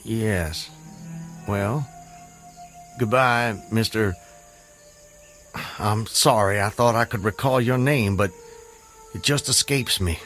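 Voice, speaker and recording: A man's voice: lowish voice, slow pace, pauses often. An actor playing a condemned man longing for his wife, in impassioned, theatrical radio drama style. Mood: polite, hesitant. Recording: radio drama under loud music.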